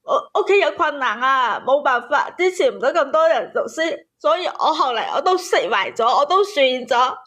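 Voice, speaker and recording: High-voiced, plaintive woman caller. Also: expressive, normal speed, few pauses, fairly bright tone. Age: 30s. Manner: plaintive, aggrieved. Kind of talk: personal storytelling on a call-in.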